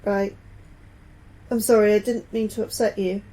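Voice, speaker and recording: A woman playing a gentle, anxious, nerdy scientist in an audio drama, speaking earnestly and a little hesitantly. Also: medium pitch, flat delivery, moderate pace, close to an everyday voice. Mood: earnest, uneasy. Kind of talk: naturalistic audio drama acting.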